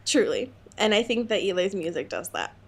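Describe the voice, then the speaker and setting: A woman's voice: mid-range voice, sing-song, moderate pace, gravelly, breathy edge. A young adult woman co-hosting a podcast in casual, comedic chat, with a jokey, playful, slangy manner and easy swearing. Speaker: podcast co-host.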